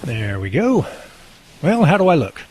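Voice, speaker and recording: A man's voice: on the high side, wildly swooping pitch, unhurried, darkish tone, slight background noise. A man acting a cheerful, devout working husband in an amateur radio drama, with a folksy, good-humored manner. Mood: playful.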